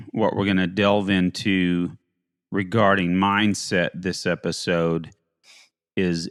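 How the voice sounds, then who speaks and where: A man hosting a podcast in casual chat, speaking in an earnest, thankful way with frequent halting fillers. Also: deep voice, a bit bright, no room sound, studio quality, long reverb tail. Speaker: podcast co-host.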